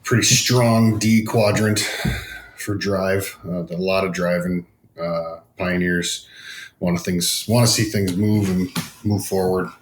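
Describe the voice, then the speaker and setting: A man's voice: low voice, steady tone, slow. A man on a podcast panel, explaining casually in a roomy recording. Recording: roomy echo with faint noise.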